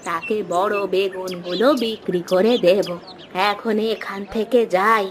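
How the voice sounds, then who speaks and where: A woman voicing a kindly, humble elderly mother and market seller in a cartoon, with a gentle, patient, drawn-out way of talking. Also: medium pitch, steady tone, a little hiss or hum, lightly acted. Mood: weary. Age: older. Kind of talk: Bengali cartoon voice acting.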